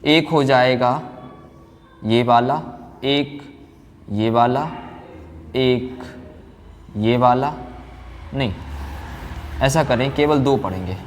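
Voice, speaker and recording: A man's voice: mid-range voice, drawn-out words, long pauses. Male teacher in echoing room. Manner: didactic, asks questions and answers them himself. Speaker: science teacher. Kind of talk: classroom physics lecture. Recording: very reverberant room, background noise.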